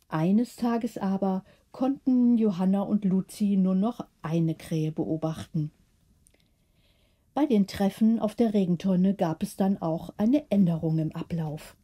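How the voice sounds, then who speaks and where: Woman audiobook narrator, unhurried, mellow, slightly husky, bedtime story. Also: mid-range voice.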